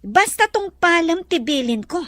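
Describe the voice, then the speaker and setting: High-voiced bossy madam. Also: female voice, sing-song, moderate pace, fairly bright tone, no room sound, studio quality, full character voice. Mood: bossy, scolding. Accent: Ilocano. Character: bossy, teasing lady employer. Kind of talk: radio drama acting.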